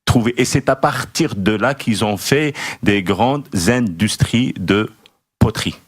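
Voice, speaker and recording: Low-voiced guy on a radio talk show, slow, lots of pauses, spells things out. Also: slightly rough. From Morocco. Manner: slow, deliberate explaining with hesitations. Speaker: radio host. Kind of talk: radio discussion.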